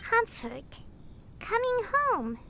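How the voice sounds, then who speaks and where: A little floating fairy sidekick from a video game. The voice is extremely high, squeaky and childlike, bouncing around with excitable energy over noticeable noise. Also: female voice, very slow, gravelly, exaggerated voice, poor audio. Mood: puzzled. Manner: talks of self in third person.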